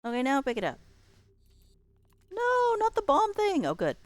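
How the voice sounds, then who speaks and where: A woman's voice: high-pitched, wildly swooping pitch, normal speed, no echo. A woman doing casual live commentary as she plays a game, thinking aloud and talking to herself and to the game. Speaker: game streamer.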